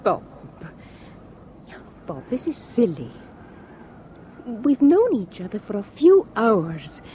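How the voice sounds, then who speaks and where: An earnest young Shetland scholar. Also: female voice, fairly high pitch, wildly swooping pitch, takes it slowly, warm tone, slight background noise, full character voice. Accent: Shetland Scots. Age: young adult. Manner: earnest, enthusiastic, self-deprecating. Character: bright young Shetland archaeology graduate. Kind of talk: 1960s radio drama acting.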